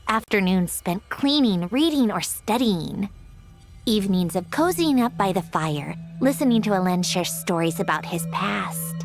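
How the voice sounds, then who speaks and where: A woman's voice: mid-range voice, expressive, unhurried, faint music behind. An actress playing an aloof, literal-minded young female android in a video game, precise and a little haughty, with a wistful streak.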